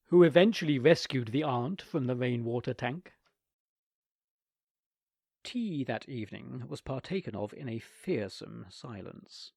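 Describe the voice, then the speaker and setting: Expressive male storyteller, dry sound. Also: fairly high pitch, no room sound. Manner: expressive, theatrical. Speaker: reader. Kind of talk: scripted story narration.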